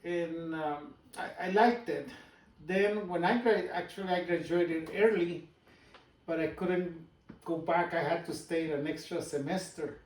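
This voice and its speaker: Older Guatemalan immigrant man, Spanish accent, light voice, pauses a lot, distant roomy recording. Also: a little high, a little mellow. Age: 60s. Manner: halting, personal storytelling with pauses and restarts. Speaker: business owner guest. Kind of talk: casual interview.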